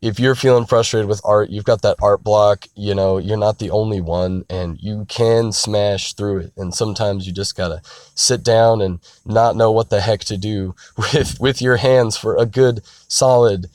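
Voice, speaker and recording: A man in his thirties, hoarse and raspy from being sick, talking candidly and rambling earnestly from inside his parked car. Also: lowish voice, steady tone, normal speed, darkish tone, dry recording. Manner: rambling, earnest, frequent restarts and "you know". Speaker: artist vlogger. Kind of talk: candid casual talk to camera.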